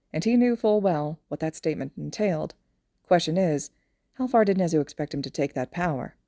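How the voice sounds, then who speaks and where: A woman's voice: sits low, warm tone, dry recording, clean studio sound. A woman narrating a scripted fan fiction audio story.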